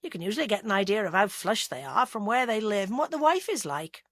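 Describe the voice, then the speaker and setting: Calm, soft male detective with a Gloucestershire accent, voiced by a woman narrator, lively pitch. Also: fast talker, dry recording, studio quality. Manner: soft, calm, gently teasing.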